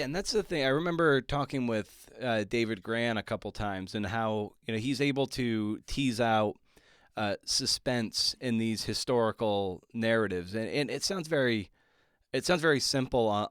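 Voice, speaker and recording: A man's voice: on the low side, clear, resonant tone, no room sound, studio quality. A man hosting a podcast, talking casually and colloquially with playful asides as he introduces and interviews.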